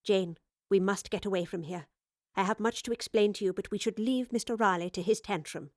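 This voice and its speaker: A woman's voice: medium pitch, fairly quick, no echo, clean studio sound, close to an everyday voice. A woman doing a bold, fiery lady professor. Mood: firm. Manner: crisp, teasing, then impassioned. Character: bold principled woman professor, fierce and wry.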